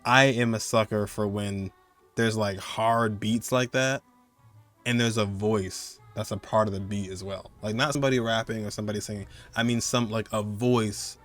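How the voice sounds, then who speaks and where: A man with a fairly low voice hosts a gaming countdown. He talks casually and excitedly, rambling informally and leaning hard on the points he cares about. Music plays behind his voice. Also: normal speed. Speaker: host of a gaming countdown video.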